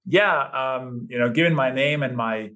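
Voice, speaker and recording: A man's voice: mid-range voice, measured pace. Man in his fifties with a slight Danish accent. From Denmark. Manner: relaxed storytelling with fillers. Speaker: podcast guest. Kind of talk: casual interview chat.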